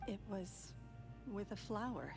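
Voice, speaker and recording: A woman playing a gentle, wistful widow in a video game, telling things in a measured, soft-hearted way. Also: mid-range voice, expressive, gravelly. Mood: fond, nostalgic. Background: music.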